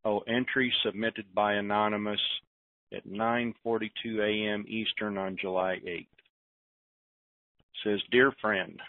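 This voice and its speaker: A man hosting an informal conference call in a halting way, talking and reading aloud over the telephone. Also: on the low side, no room sound. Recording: thin, narrow phone line.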